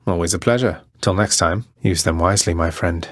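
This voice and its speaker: Casting: male actor as sardonic young prince of the underworld for a game; low register, dry studio sound, courtly and understated. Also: fairly quick, no echo, close to an everyday voice. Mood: courteous. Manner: wry, courtly, understated delivery. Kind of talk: scripted video game character lines.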